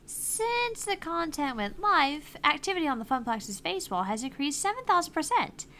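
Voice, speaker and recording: A woman's voice: high voice, sing-song, normal speed, pushed and bright, a little hiss or hum, full character voice. A woman voicing a perky, quirky female AI helper who beeps through chipper announcements, read from a visual novel. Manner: chirpy, beeping announcements. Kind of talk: reading visual novel dialogue aloud.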